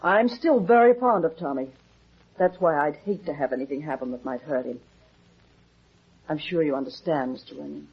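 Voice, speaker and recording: An actress playing an evasive, anxious stage performer with a secret in a 1950s radio drama, hesitant and pleading. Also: female voice, low voice, moderate pace, husky. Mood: confiding, evasive. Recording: muffled 1950s radio recording.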